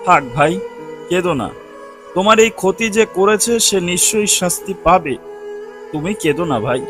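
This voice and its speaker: Man acting a high-voiced, consoling villager. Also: lively intonation, moderate pace, over music. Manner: soothing, sympathetic. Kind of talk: cartoon voice acting.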